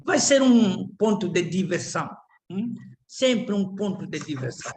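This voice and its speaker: An older Angolan man speaking Portuguese with an Angolan accent, giving a reflective, halting lecture full of restarts and repeated words. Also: sits high, expressive, a little mellow, a little hiss or hum.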